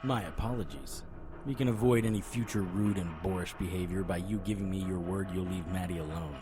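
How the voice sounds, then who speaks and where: A man's voice: low voice, brisk, natural voice. An actor playing a tough, determined private investigator in an audio drama, terse and serious as he narrates and speaks. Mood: firm, defiant. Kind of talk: first-person audio drama narration and dialogue.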